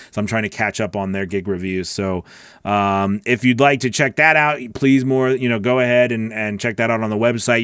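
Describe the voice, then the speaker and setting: A man's voice: mid-range voice, clean tone, no room sound, clean studio sound. A middle-aged man hosting a casual livestream, chatty and rambling in an informal, friendly way as he answers viewers. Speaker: host, voice-over coach. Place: small home recording studio. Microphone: close broadcast mic on boom arm.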